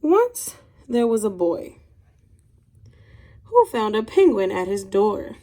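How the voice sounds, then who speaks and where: A woman's voice: mid-range voice, big pitch swings, slow pace, dark tone. A woman teacher speaking expressively to young pupils, as in a read-aloud of a children's storybook. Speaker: teacher reading a picture book aloud to children.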